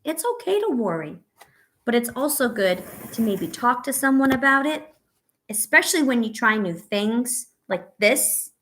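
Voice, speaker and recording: A woman reading a picture book aloud to young children as a teacher, pausing to ask questions and offer encouragement and reassurance. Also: a little high, very animated, a bit bright, slight background noise. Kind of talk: read-aloud story with asides to young children.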